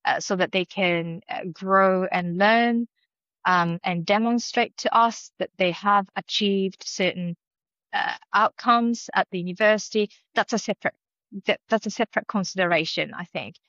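A woman with a Japanese accent, a native Japanese speaker, answering interview questions as an academic in a thoughtful way with many pauses and fillers. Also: medium pitch, fairly bright tone, no echo, dull audio. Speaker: academic guest.

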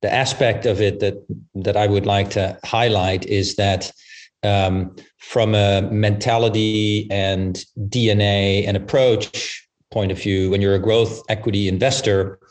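A man giving explanatory answers as an interview guest, halting and repeating words as he goes. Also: fairly low pitch, steady tone. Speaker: podcast guest, investment firm managing partner.

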